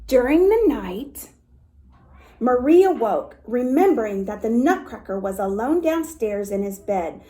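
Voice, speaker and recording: A woman reading a story aloud to young children in an expressive way, with little asides to her listeners. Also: on the high side, a little slow. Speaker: storytime reader for a dance academy.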